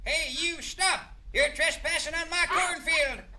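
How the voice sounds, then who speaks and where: A man's voice: very high-pitched, unhurried, noisy background. A man voicing an angry, territorial farmer, hollering in a slapstick cartoon. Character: angry adult male farmer, loud and territorial. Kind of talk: slapstick cartoon comedy acting.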